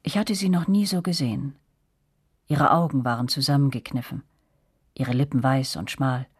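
An actress playing a reflective female narrator who recalls her girlhood, telling a radio drama in measured, serious literary first person. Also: a bass voice, slightly rough. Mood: sombre, observant.

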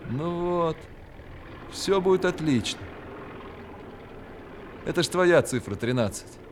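An actor playing a steady, fatherly army major in a radio drama, giving clipped commands and calm reassurance. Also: fairly high pitch, takes it slowly. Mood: calm, reassuring. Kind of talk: Soviet radio drama acting. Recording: noisy radio drama with vehicle ambience.

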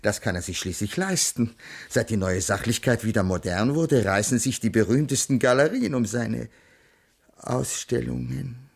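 Gravelly, hesitant, pedantic schoolman. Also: medium pitch. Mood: reflective, formal. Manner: formal, halting, hedging. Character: hesitant, pedantic male school colleague giving testimony. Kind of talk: 1980s German radio crime drama acting.

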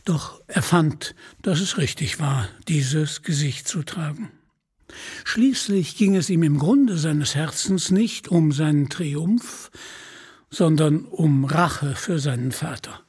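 A man narrates a scripted adventure story in a high, husky voice. He takes his time and tells it expressively, as in a radio play, with some music in the recording. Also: slow pace, darkish tone.

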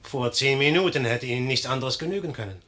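A bright, forceful male voice at a middle pitch , a man acting as a young general in a 1950s radio drama. He is commanding and shrewd, with a sardonic edge in formal, measured phrasing. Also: natural voice. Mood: stern, proud.

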